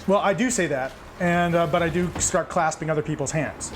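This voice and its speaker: A woman's voice: low-pitched, a little fast. A woman talking casually as a player during a tabletop game. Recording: noisy room with background ambience.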